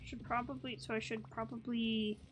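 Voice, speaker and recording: A woman's voice: on the high side, flat delivery, normal speed, poor audio. A woman hosting a gaming video, thinking aloud in casual commentary with playful asides. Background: game music.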